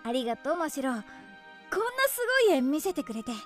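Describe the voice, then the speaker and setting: A woman acting a frank, warm-hearted teenage girl, a loyal best friend, with expressive, open delivery in a Japanese radio drama. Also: high voice, fast talker. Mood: moved. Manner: expressive, plain-spoken, emotionally open. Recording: studio drama with music underneath.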